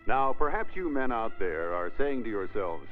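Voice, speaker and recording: An actor playing a pompous, mild-mannered lecturer giving a formal, tactful scripted address in a 1960s training film. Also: male voice, lowish voice, sing-song, normal speed. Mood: earnest, tactful. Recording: tinny 1960s film soundtrack, faint music.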